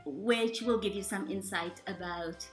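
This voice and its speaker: An emphatic woman introduces herself as a tutor, leaning on repeated phrases and stressing her words. Her voice sits at an ordinary middle pitch. It rings in a roomy, echoey space with faint music underneath. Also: a little slow. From South Africa. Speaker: language tutor. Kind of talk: self-introduction video.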